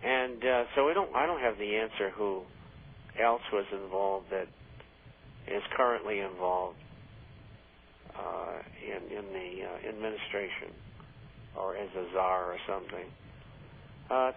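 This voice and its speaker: A man hosting a radio talk show, speaking slowly and haltingly with many fillers while losing his voice. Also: on the low side, slightly rough. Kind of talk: casual radio talk and listener questions. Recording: tinny phone-line radio audio with faint hiss.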